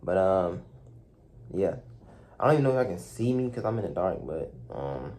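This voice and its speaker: A young man, talking softly and hesitantly to the camera in a dark room, with many fillers and restarts. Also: sits low, very animated, moderate pace. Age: young adult. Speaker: vlogger. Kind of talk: reflective talk to camera.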